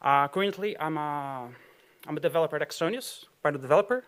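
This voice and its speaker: A man with a Brazilian Portuguese accent, his voice a touch high, talks to an auditorium crowd. He rambles in a loose, chatty way, full of fillers and little laughs. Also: fast, a bit bright, clean tone. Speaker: conference speaker. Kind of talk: tech conference talk.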